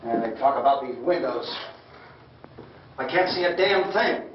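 A man's voice: medium pitch, lively intonation, measured pace, slight background noise, close to an everyday voice. Echoey, irritable American husband acted by a man. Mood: scornful, agitated. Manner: agitated, griping, scornful. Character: irritable, panicky middle-aged husband holed up in farmhouse. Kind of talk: 1960s horror film acting. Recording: roomy, distant, dull old film soundtrack.

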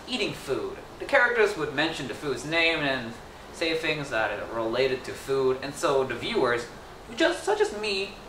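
A teenage boy whose first language is Indonesian, telling a story in a speech to a camera across a small room, animated and playful. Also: a little high. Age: teens. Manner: animated, gesturing, playful asides. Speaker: student storyteller. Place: small indoor room with wallpaper. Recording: echoey room, mic at a distance, faint noise.

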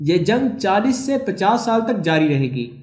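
A man with a high, light voice reads scripted documentary narration for his channel. His delivery is formal and measured, with an even, steady read. The room around him rings with noticeable echo. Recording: echoey room.

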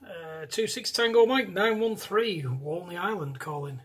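A man's voice: high voice, expressive, unhurried. A British man speaking casually as an amateur CB radio operator, putting out calls. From United Kingdom. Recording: noisy CB radio recording, clicks, static. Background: radio static.

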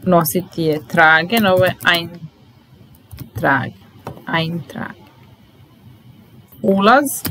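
A young woman teaching German vocabulary in a slow, deliberate drill, saying each word and repeating it calmly. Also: on the low side, slight background noise, rough recording. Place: small indoor room at a desk.

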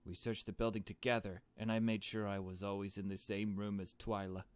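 A man's voice: lowish voice, flat delivery, fairly quick, clean tone, natural voice. A man voicing a nervous, shaken young woman who narrates a visual novel mystery in a hesitant, uneasy way. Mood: subdued, uneasy. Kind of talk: visual novel story read aloud.

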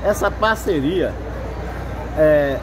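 A man works as a roving reporter, prompting people with quick, short questions. His voice sits a touch high. Loud crowd babble and outdoor noise fill the background. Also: lively intonation. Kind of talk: street vox-pop interviewing. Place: outdoor health fair under tents.

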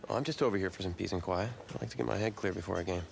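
A man playing a stressed, proud high school football star in a video game cutscene, casual and sardonic with an American accent. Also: rapid-fire, vocal fry, a little hiss or hum. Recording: background music under the voice.

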